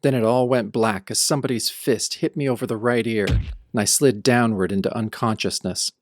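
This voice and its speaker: Guy as a grim tough hero narrating an audio drama, lowish voice, brooding and deliberate, hardboiled storytelling. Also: no room sound, clean studio sound, natural voice. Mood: grim, tense. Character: brooding tough male hero recounting his nightmare. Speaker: narrator and protagonist. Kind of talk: scripted first-person narration in an audio drama.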